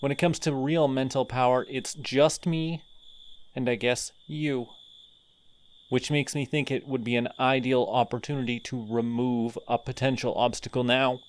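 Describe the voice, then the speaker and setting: A man voicing a sardonic male telepathic villain in an audio roleplay, mocking and deliberate. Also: medium pitch, fairly even intonation, natural voice.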